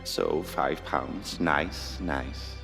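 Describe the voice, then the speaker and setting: A man playing a stern, greedy, pompous workhouse master in a radio drama, speaking in a commanding, deliberate way. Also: extremely low pitch, creaky, exaggerated voice. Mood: smug, businesslike. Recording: long hall reverb, music behind.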